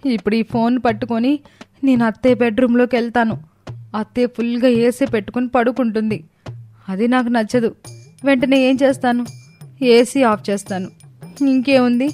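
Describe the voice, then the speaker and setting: A woman's voice: on the high side, a little mellow, close to an everyday voice. A woman voicing a dutiful, thrifty young daughter-in-law in a dramatized moral story, arguing earnestly and respectfully. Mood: anxious, complaining. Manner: earnest, argumentative, explaining.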